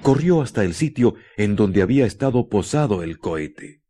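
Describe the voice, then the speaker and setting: A man narrating a scripted radio drama in a solemn, deliberate way, with dramatic pauses. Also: lowish voice, lively intonation, warm tone.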